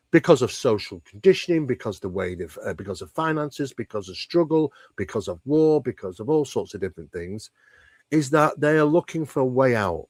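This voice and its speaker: Guy being interviewed, super expressive up and down voice, emphatic, asks rhetorical questions, dry sound. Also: medium pitch, dry recording. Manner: emphatic, rhetorical questions, repeats phrases for stress. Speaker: interview guest, paranormal researcher. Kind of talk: conversational explanation in an interview.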